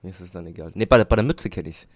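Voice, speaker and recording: A young man with a cold co-hosts a casual chat, his voice fairly low and a bit stuffy. He talks hesitantly and restarts his sentences. The sound is dry and close, with no room echo. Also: rapid-fire, darkish tone. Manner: hesitant, many fillers and restarts. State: has a cold. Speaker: podcast co-host.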